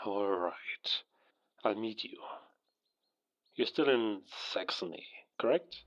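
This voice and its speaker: An actor playing a stern, skeptical West German intelligence agent, formal and condescending, over a telephone in an audio drama. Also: male voice, on the low side, slow pace, a bit bright. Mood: formal, cautious. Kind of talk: cinematic audio roleplay acting. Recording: dull, band-limited telephone call.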